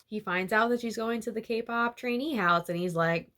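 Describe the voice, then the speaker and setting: A woman's voice: on the high side, moderate pace, fairly bright tone. A young woman vlogger retelling a novel chapter by chapter in a chatty, giggly way, with lots of fillers. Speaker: book-summary vlogger.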